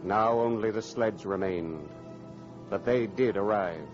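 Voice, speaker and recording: A man's voice: lowish voice, measured pace. A man narrating formally and dramatically as a 1940s radio announcer. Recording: muffled old radio recording with music.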